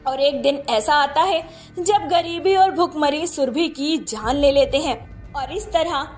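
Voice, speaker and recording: A woman reads a scripted cartoon story as its narrator. Her voice is high-pitched, and faint music plays underneath. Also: takes it slowly.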